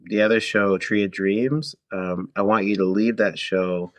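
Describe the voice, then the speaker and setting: A man speaks as a podcast guest in a low-pitched voice. His delivery is conversational and reflective, as he thinks aloud and checks in with the listener. The recording is dry and free of room sound. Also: steady tone, a little slow, no room sound. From Toronto, Canada. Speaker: podcast guest, singer-songwriter. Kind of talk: casual interview conversation.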